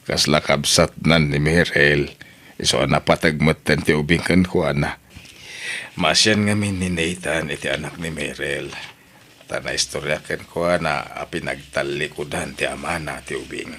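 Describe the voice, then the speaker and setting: A man playing a gentle, aging father in an Ilocano audio drama, speaking with an Ilocano accent in an earnest, fatherly way. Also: extremely low pitch, fairly even intonation, moderate pace, full character voice. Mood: explaining. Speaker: father and grandfather in a family drama.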